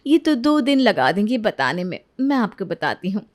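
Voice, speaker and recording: A woman's voice: mid-range voice, very animated, moderate pace, natural voice. A woman voicing a sly young second wife who sweet-talks the family in a coaxing, teasing way.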